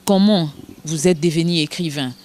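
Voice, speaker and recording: A woman's voice: deep voice, moderate pace, a little mellow. A woman interviewing a pastor, speaking with many fillers and restarts. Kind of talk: press interview.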